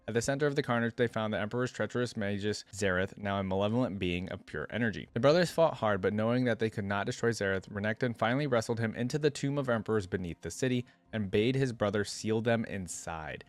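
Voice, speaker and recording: A man reading stories aloud and reacting casually as a video host, expressive and informal. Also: on the low side, fast, few pauses, no echo. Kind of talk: reading lore aloud with casual off-the-cuff commentary.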